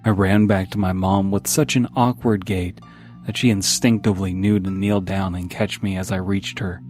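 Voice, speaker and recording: A man with a fairly low voice narrates a creepy story in the first person. It is a scripted horror reading, with music playing faintly behind him. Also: little pitch movement, moderate pace, a little mellow. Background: background music.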